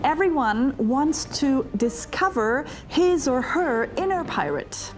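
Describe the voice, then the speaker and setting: A middle-aged woman, a keynote speaker and leadership coach, talking to camera in a scripted promotional monologue with emphatic, deliberate delivery and dramatic pauses, recorded in a studio on a lapel mic. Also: on the high side, slight background noise. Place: studio before a green screen, edited onto a virtual ship deck. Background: faint music bed.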